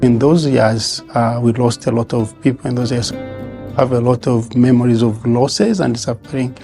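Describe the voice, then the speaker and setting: A man who grew up as a refugee, telling his story in an interview, halting with many fillers and pauses. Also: mid-range voice, steady tone, vocal fry, music in the background. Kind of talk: interview testimony.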